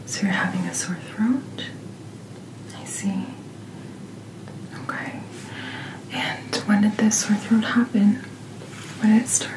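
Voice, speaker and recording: Female ASMR doctor: hushed, breathy whisper, very slow and soothing delivery, roomy recording. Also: mid-range voice, steady tone. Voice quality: soft, breathy, mostly whispered. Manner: gentle, unhurried, reassuring bedside manner. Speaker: ASMR performer playing a doctor. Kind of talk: soft-spoken ASMR medical roleplay. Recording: roomy sound, clear background noise.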